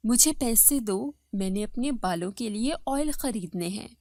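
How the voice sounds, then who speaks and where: A woman's voice: a little high, expressive, a little slow, a little mellow, no room sound, studio quality. A woman voicing a vain, haughty young second wife, proud of her looks, in a dramatized story. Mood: demanding.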